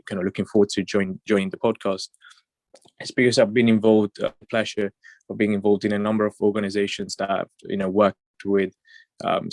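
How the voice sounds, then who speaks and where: A young man who grew up in Italy speaks as a podcast guest. His voice is low and flat, and he uses frequent fillers in a relaxed way. The recording is dry. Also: dry recording. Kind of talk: podcast interview.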